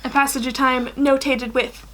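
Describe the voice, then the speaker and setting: A woman's voice: high voice, flat delivery, a little slow, a bit bright, slight background noise. A young woman reading fan fiction aloud in a small room, now and then tripping over her words. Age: young adult. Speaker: guest story reader. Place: small attic room indoors.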